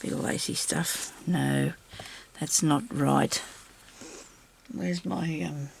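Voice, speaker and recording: Gravelly-voiced woman chatting while crafting. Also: sits low, fairly even intonation, slow, a little hiss or hum. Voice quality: slightly raspy voice. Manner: chatty, talks to herself while working. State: recovering sore throat. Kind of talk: casual craft vlog talk to viewers. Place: craft table indoors.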